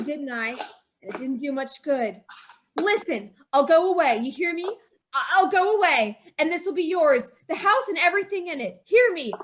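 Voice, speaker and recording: A woman's voice: very high voice, fairly bright tone. A woman playing a terrified young wife with a noose around her neck in an old-time radio drama, pleading and sobbing. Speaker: radio actor.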